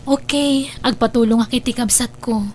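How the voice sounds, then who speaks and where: A woman with a Filipino Ilocano accent playing a gentle, devoted young daughter in a radio drama. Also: fairly high pitch, steady tone, normal speed, natural voice. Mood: casual, cheerful. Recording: noisy radio drama track.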